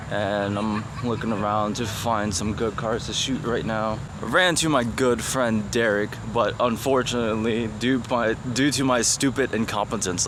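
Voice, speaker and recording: A young man vlogging about cars, talking casually and rambling with lots of "like" and "you know", his voice stuffy and nasal from a cold. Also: mid-range voice. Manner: rambling, many likes and you-knows. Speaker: car vlogger. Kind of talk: casual vlog talk to camera. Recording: noisy background.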